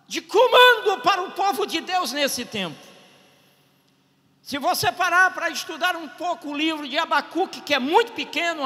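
Man, middle-aged, Bahian accent, pastor, outdoor sermon, handheld mic, slow delivery, long echo. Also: very high-pitched. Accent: Bahian Portuguese. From Brazil. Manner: preaching with rising shouted climaxes, repeating phrases. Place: outdoor stage at a drive-in service.

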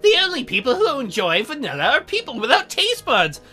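A woman playing a coy, teasing, bashful young demon girl in a visual novel, with measured delivery. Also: high-pitched, wildly swooping pitch, bright, forceful tone, husky, soft background music, heavily acted. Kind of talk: visual novel character acting.

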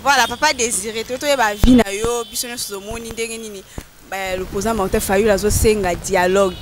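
A woman's voice: mid-range voice, steady tone, brisk, over music. A young woman with a Congolese accent putting questions to passers-by as a street reporter with a handheld mic. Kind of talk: vox pop interviewing. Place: busy street outdoors. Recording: noisy street with traffic.

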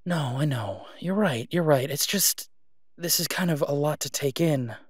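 A man playing a devoted, reassuring boyfriend in an intimate roleplay audio drama, speaking softly and haltingly in a conversational way. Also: on the high side, slightly rough, dry recording, clean studio sound. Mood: worried, hesitant.

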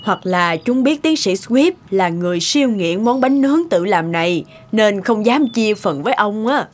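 A woman's voice: mid-range voice, expressive, moderate pace, natural voice. A woman playing a courteous, composed lady in a cartoon. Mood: friendly. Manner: polite. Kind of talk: animated cartoon dialogue. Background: music.

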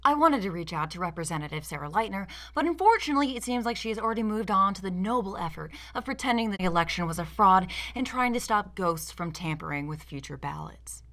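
A woman's voice: mid-range voice, expressive, quick pace, few pauses, a bit bright. An American woman from Michigan narrating a scripted video essay in a sardonic manner. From Michigan, United States.

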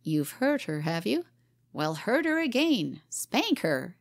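A woman voicing a stern, excitable male doctor egging a friend on. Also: mid-range voice, slow, pitched above the performer's own voice. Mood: urging. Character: stern excitable small-town doctor, man.

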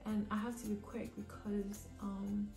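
Hoarse young woman, gravelly, in an echoey room. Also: mid-range voice, level tone, a little slow. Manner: chatty, thinking aloud with ums and restarts. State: a bit hoarse from a cold. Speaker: hair tutorial vlogger. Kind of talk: casual step-by-step tutorial. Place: living room at home, indoors. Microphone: camera in front of her at desk distance. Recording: echoey room with soft music behind.

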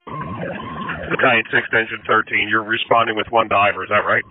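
A man's voice: fairly low pitch, normal speed. A man acting as fire incident commander, giving procedural instructions over emergency radio. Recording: muffled radio with steady noise.

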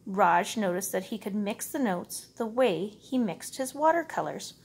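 A woman reading a picture book aloud as a storyteller, with expressive, measured, dramatic phrasing. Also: mid-range voice, breathy edge. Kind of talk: expressive read-aloud narration.

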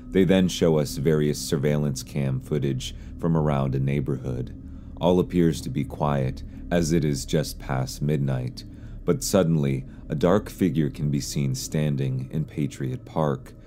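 Very deep-voiced man narrating, dark tone. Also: slight background noise. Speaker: YouTube narrator. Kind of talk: scripted explainer narration of horror videos.

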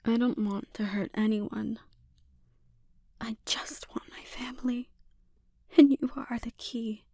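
A woman playing a menacing, seductive sorceress and obsessive mother in a breathy, often whispered ASMR roleplay, speaking deliberately and with quiet threat. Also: a little high, steady tone, no echo, studio quality. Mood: yearning, obsessive.

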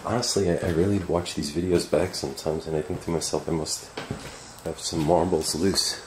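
A middle-aged man with a gravelly voice, talking casually and a little uneasily to viewers in a rambling handheld vlog. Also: rumbling low, fairly even intonation, normal speed, long pauses, low-quality recording. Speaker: urban exploration vlogger. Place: abandoned derelict house and surrounding woods. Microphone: handheld camera at arm's length. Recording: faint outdoor noise with chirping insects.

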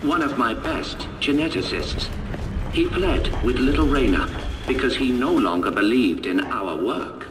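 An actor playing a cold, courtly scientist machine intelligence with a formal, measured, condescending manner, in a video game. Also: male voice, mid-range voice, animated, far from the mic, noisy background, close to an everyday voice. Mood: detached, reflective. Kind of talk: dramatic video game acting.

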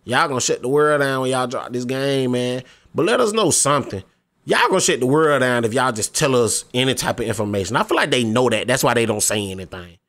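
A man's voice: mid-range voice, animated, fairly quick, fairly bright tone. Laid-back young man in his twenties. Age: 20s. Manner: laid-back, slangy, eager; pleading, repeats himself. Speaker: gaming YouTuber. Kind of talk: casual discussion vlog.

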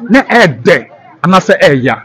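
Lively Ghanaian man interviewing outdoors. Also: high-pitched, normal speed. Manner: teasing, laughs often, animated. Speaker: street interviewer. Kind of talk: playful street interview. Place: outdoor roadside market lot. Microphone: handheld mic. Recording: crowd chatter outdoors. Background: crowd.

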